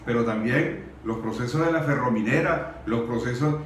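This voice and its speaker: A Venezuelan man giving an earnest, deliberate and emphatic public speech in Spanish, pausing between short phrases. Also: mid-range voice. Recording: very echoey room, distant mic, faint noise.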